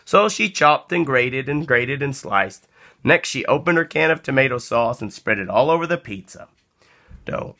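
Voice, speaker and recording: A man reading a children's story aloud as narrator, with expressive, deliberate phrasing. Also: medium pitch, gravelly. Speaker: storybook reader.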